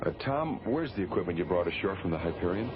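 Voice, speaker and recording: An actor playing a level-headed, practical space crew commander in a radio drama, steady and businesslike. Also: male voice, sits low, quick pace, background noise. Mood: steady, serious. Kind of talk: old-time radio drama acting. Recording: dull radio recording with loud music.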